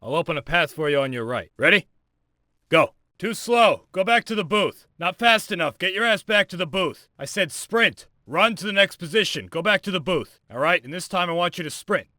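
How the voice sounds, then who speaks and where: A brusque military training instructor from a video game. His voice is fairly high for a man, with a forceful, sharp edge, and he is blunt and commanding in a very dry, close recording. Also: a little fast, no echo. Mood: annoyed, impatient. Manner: commanding, blunt, clipped orders. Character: brusque, foul-mouthed male military firearms drill instructor. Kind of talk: scripted game voice lines, instructional and barked commands.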